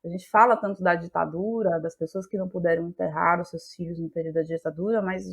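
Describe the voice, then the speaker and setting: A woman's voice: on the low side, fairly even intonation, quick pace, husky. A Brazilian woman with a Bahian accent answers as an interview guest, talking reflectively and searching for words, repeating phrases for emphasis. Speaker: guest, playwright and actress.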